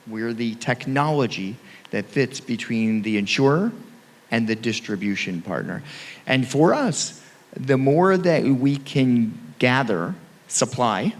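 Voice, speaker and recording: A man on a conference panel, speaking emphatically and punching key phrases. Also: mid-range voice. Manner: emphatic, punchy, speeds up and slows down for stress. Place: conference hall. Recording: reverberant hall.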